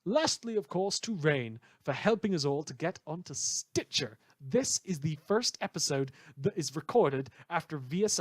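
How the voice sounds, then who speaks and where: Man, podcast creator, credits and thanks, fairly high pitch, close dry recording. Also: no room sound.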